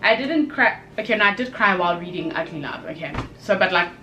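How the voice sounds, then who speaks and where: Female vlogger, young. Bright voice, casual and emphatic delivery, light music underneath. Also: fairly low pitch, brisk, lo-fi sound. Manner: expressive, conversational, emphatic repetition. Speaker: book review vlogger. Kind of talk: casual chatty video review.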